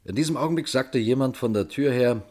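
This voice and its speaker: A man playing a composed colonel who narrates and acts in a radio mystery, telling it in a measured way. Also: mid-range voice, a little mellow, close to an everyday voice. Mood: composed storytelling. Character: composed male colonel narrating his own mystery case.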